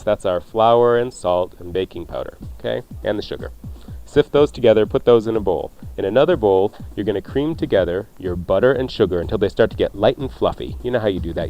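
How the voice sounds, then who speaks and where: A man's voice: lowish voice, dark tone, highs cut off. A man hosting a radio cooking show, talking through recipes in a casual, conversational way with jokey asides. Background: faint music.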